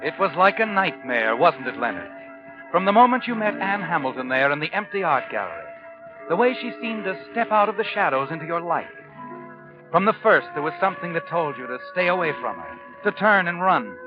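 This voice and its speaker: An ominous radio storyteller who taunts with insinuation. His fairly high voice swoops dramatically over music on a muffled 1940s suspense recording. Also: big pitch swings, brisk. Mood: ominous, insinuating. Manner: insinuating, dramatic second-person address. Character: the Whistler, ominous male narrator taunting the protagonist.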